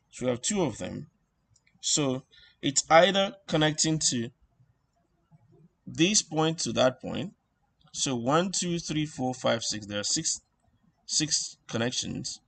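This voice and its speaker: Slow-talking man teaching, halting. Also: medium pitch, gravelly, a bit bright, no echo. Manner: halting, repeats phrases while working things out. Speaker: instructor. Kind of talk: informal electronics tutorial, thinking aloud.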